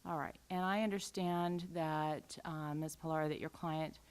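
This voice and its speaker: Low-pitched woman, judge running a formal hearing, measured and procedural. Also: steady tone, clean tone. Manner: measured, procedural, spells out case numbers slowly. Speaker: administrative law judge.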